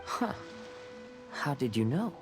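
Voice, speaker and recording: An actor playing a gentle, courteous young wandering samurai in a video game, calm and poetic. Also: male voice, mid-range voice, slow pace, music in the background. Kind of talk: English video game character acting.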